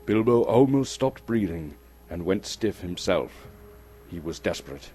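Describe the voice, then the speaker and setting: Deep, mellow male storyteller over music. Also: expressive, a little hiss or hum. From Canada. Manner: suspenseful, theatrical, with deliberate pauses. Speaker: storyteller, actor reading an audiobook chapter. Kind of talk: dramatic scripted narration. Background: music under the voice.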